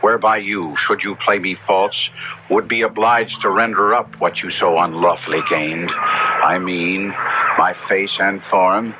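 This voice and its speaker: A man's voice: sits low, huge pitch swings, a little slow, heavy background noise, exaggerated voice. An actor playing a despairing, covetous young wastrel who tells his tale in florid, theatrical language in a radio horror drama. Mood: wary. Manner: florid, theatrical first-person storytelling. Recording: muffled vintage radio broadcast, music behind.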